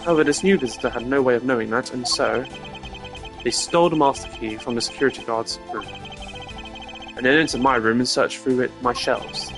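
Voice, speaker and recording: A man voicing a composed, formal male prosecutor in a game, laying out his case in measured, deliberate speech. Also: medium pitch, close to an everyday voice. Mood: assured, pointed. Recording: music behind the voice.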